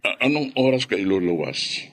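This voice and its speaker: An actor playing a meek, sympathetic village man in a Filipino radio drama, halting and deferential. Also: on the low side, big pitch swings, loud music behind the voice.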